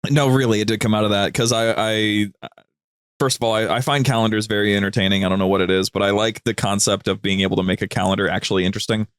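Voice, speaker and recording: A man's voice: on the low side, steady tone, fast talker, fairly bright tone, clear, resonant tone, no room sound, clean studio sound. A man chatting casually as a podcast guest, telling stories in an informal, rambling, slangy way. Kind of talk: casual, anecdotal chat.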